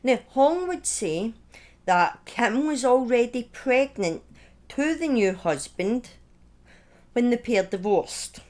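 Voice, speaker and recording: A woman telling a true-crime story in a conversational, partly scripted way, with informal asides, opinions and halting phrasing. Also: mid-range voice.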